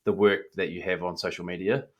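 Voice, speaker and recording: A man's voice: sits low, steady tone, a little fast, dull audio. A man with a New Zealand accent hosting a business podcast in a studio, with an upbeat, enthusiastic delivery. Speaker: podcast host, business coach. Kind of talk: scripted podcast intro and interview.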